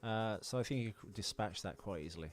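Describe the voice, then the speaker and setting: A man's voice: lowish voice, fast talker. A middle-aged British man co-hosting a podcast, chatting casually about films in an opinionated, jokey, sweary way. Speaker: podcast co-host. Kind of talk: casual film chat.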